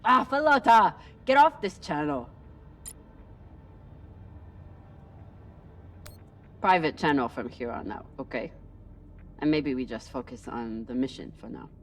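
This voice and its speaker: Guarded, commanding spaceship officer. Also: female voice, low-pitched, expressive, normal speed, distant mic. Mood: irritated. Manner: terse, commanding. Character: tough, guarded female spaceship first officer, Belter scavenger. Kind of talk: video game cutscene acting.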